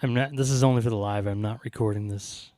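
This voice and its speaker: A man's voice: fairly low pitch, steady tone, brisk, mellow, no room sound, studio quality. A man co-hosting a podcast live stream in casual chat, joking around and reading out viewer comments. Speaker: podcast co-host.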